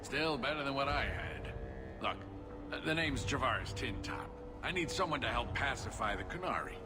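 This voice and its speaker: An actor playing a grumbling, scheming dwarf merchant with a wry, blustering sales patter in a video game. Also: male voice, mid-range voice, big pitch swings, moderate pace, close to an everyday voice. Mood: wheedling. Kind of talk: video game dialogue acting. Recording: game audio under loud music.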